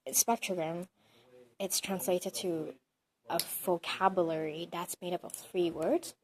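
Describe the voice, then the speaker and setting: A woman's voice: fairly low pitch, steady tone, a little slow, a little mellow, rough recording. Young woman, chatty and hesitant. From Hong Kong. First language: Cantonese. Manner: thinks aloud, many "like" and "um" fillers. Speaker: interviewee, bilingual student. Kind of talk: casual interview answers.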